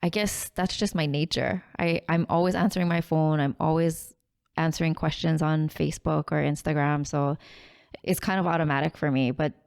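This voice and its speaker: A woman with a notably low voice speaks into a studio mic. She is a defense attorney from Hawaii, confident and direct as she lays out the legal details. The talk is a relaxed, casual interview. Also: normal speed, vocal fry, clean tone. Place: podcast studio. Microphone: studio microphone with headphones.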